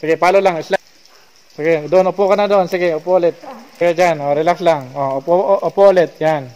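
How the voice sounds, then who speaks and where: A man's voice: on the high side, fairly quick, darkish tone, highs cut off. A man with a Filipino accent guiding a cave tour, narrating and coaxing climbers along in mixed English and Tagalog. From Philippines. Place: inside a large cave.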